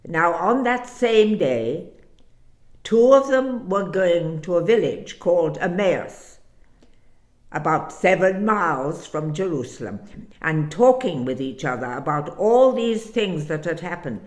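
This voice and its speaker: A woman giving an expressive scripture reading in a deliberate manner with dramatic pauses. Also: on the low side, echoey room. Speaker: scripture reader.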